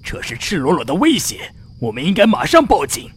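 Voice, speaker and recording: A male actor as an anxious spacecraft navigator in a sci-fi radio play. His fairly high voice leaps up and down very dramatically, over a faint background noise. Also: wildly swooping pitch, a little fast, a bit bright. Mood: alarmed, urgent. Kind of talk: Chinese science fiction radio drama acting.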